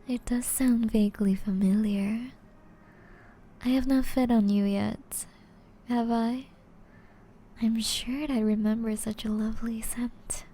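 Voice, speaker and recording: A woman plays an elegant, seductive vampire noblewoman in an intimate ASMR roleplay. Her voice sits fairly high yet is very dark and velvety soft, breathy and often half-whispered. She draws out her formal, teasing phrases at a very slow pace, flirty and predatory. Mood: coy, amused.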